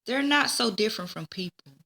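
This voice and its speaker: A woman's voice: on the high side, lively intonation, brisk, creaky, bright, forceful tone, exaggerated voice. A woman voicing a kindly, instructive man from a children's storybook, explaining things patiently.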